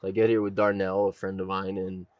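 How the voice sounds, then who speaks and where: Guy hosting a chatty podcast, lowish voice, dry close sound, acts out quotes. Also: level tone, fast talker, no echo, dull audio. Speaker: podcast host. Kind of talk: casual podcast chat.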